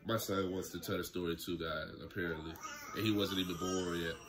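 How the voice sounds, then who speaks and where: A young man telling a story to camera in a relaxed, slangy way from a small room at home. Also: sits low, brisk, a crowd in the background. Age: young adult. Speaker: YouTuber. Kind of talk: casual story time vlog. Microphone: camera close in front, seated.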